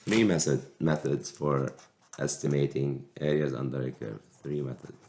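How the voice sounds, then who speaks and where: A man's voice: very deep voice, steady tone, darkish tone. A man with a cold teaching a recorded calculus lecture, explaining step by step with frequent restarts. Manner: slow, halting explanation with restarts. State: sick with a cold. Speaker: math teacher.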